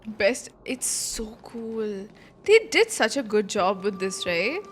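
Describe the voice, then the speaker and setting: A woman's voice: mid-range voice, lively intonation, slow. A young woman giving casual, exclamatory, slangy livestream reactions while playing a game. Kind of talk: casual playthrough reaction commentary. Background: game music.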